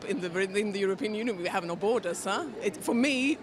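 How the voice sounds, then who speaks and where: A woman's voice: medium pitch, lively intonation, a little fast, a crowd in the background. A woman from Sweden answering a street interview thoughtfully, tagging remarks with "huh?".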